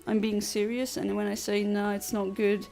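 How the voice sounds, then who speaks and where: Woman, gravelly fry, blunt and literal, casual streamer chat. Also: medium pitch, little pitch movement, moderate pace, darkish tone, faint music behind, poor audio. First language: Luxembourgish. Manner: blunt, literal and chatty, with many restarts. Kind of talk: casual chat answering a livestream chat.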